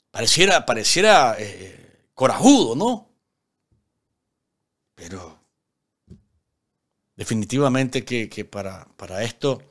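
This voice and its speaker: A middle-pitched man in his forties speaks with a Nicaraguan accent as the host of a live political commentary show. He is emphatic, repeating phrases for weight and posing rhetorical questions. He talks into a microphone at a studio desk. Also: gravelly, a bit bright. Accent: Nicaraguan Spanish. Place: small indoor studio at a desk.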